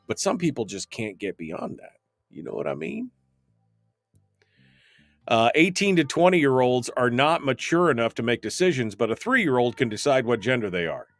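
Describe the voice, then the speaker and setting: Opinionated man hosting talk radio, mid-pitched, rambles with ums, dry studio sound. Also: big pitch swings, normal speed, no room sound, soft background music. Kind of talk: casual radio talk, reading listener comments.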